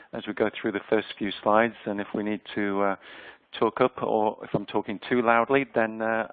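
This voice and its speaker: A man's voice: on the low side, no echo. A man in his fifties from Yorkshire, with a Yorkshire accent, giving an online presentation in a conversational way with frequent ums. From Yorkshire, England. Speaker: webinar presenter. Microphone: webinar microphone. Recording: dry, tinny phone-like webinar audio.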